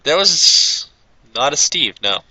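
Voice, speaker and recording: A man's voice: fairly high pitch, very animated, takes it slowly, bright, forceful tone, poor audio. A young man chatting casually as a co-host, adding short comments. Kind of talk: casual banter.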